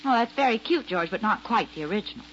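A woman's voice: mid-range voice, expressive, fast, a bit bright, natural voice. An actress playing a devoted young woman assistant in a 1940s-style radio drama, with a fond, lightly teasing manner. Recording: dull lo-fi old radio broadcast with faint hiss.

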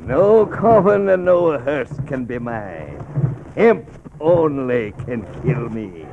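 An obsessed old sea captain in a radio drama, gravelly and commanding. He declaims slowly in grand archaic oratory, his high-set voice swinging widely. The sound is slightly muffled. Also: very animated, noisy background, exaggerated voice. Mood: defiant, obsessed. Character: obsessed, commanding old whaling ship captain, grim and fey. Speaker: ship's captain. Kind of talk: classic radio drama acting.

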